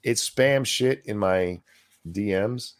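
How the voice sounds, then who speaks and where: A man hosting a casual live stream, ranting in a crude, sarcastic way with loud outbursts. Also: fairly low pitch, slow. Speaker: stream host. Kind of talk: casual profane ranting chat.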